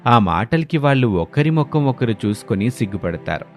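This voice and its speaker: A male storyteller reads a scripted moral tale in a medium-pitched voice. Music plays softly behind him. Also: very animated, moderate pace.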